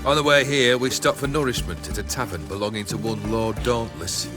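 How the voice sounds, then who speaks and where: An actor playing a fearless, brooding brigand lord in a video game, with grand, archaic, theatrical phrasing. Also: male voice, fairly low pitch, fairly quick, over music, close to an everyday voice. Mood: proud, wry. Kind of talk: dramatic video game voice acting.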